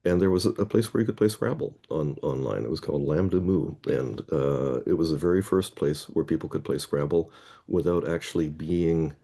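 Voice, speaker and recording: Very deep-voiced man telling a rambling story. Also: fairly quick, creaky, warm tone. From Canada (Toronto). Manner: rambling, anecdotal, often rephrasing mid-sentence. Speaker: guest, head of a Scrabble players association. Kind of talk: casual interview storytelling.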